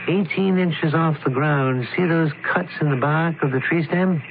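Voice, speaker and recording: An actor playing a shrewd, methodical male criminologist, deliberate and authoritative, in 1970s radio drama style. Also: on the high side, creaky. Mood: intent, methodical. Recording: noisy, narrowband old radio broadcast.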